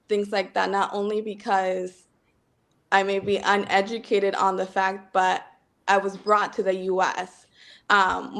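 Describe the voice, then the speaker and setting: Hesitant Dominican woman on a phone call. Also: mid-range voice. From Dominican Republic. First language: Spanish. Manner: hesitant, reflective, many ums. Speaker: guest. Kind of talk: casual conversation on a video call. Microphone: phone on video call.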